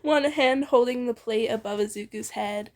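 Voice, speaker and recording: A woman with a somewhat high voice reads fan fiction aloud as one of two narrators. Her delivery is informal and playful. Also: moderate pace. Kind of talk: expressive fan fiction reading.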